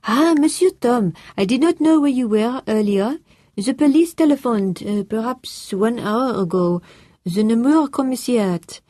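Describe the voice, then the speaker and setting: A woman performs a proper older French housekeeper speaking careful, hesitant English with a put-on French accent. The voice rides higher than the narrator's, with a soft, mellow tone. It sounds close and dry. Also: lively intonation, a little slow, dry recording.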